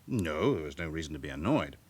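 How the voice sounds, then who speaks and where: A man's voice: a bass voice, very animated, brisk, a little mellow, slightly rough, exaggerated voice. An actor playing a respectable businessman with a past in a radio drama, speaking formally, with controlled and faintly haughty pride. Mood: guarded, courteous. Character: smooth respectable businessman with a past, guardedly proud. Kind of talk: naturalistic radio drama acting.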